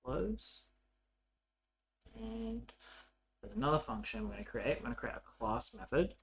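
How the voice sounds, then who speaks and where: A man narrating a coding tutorial casually, thinking aloud in an informal, halting way as he works. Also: medium pitch, big pitch swings, a bit bright, rough recording. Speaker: programming tutorial presenter.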